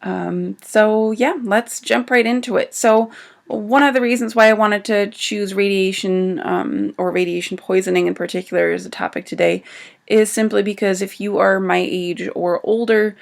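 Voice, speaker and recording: A woman's voice: medium pitch, fairly even intonation, moderate pace, gravelly. A woman doctor who is getting over a cold gives an informal talk on survival medicine, with frequent ums as she explains. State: recovering from a cold. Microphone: livestream setup.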